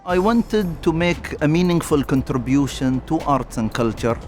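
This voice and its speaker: A man's voice: fairly high pitch, animated, normal speed, a little mellow, faint music behind. A man speaking as an arts founder in a documentary interview. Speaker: art hub founder.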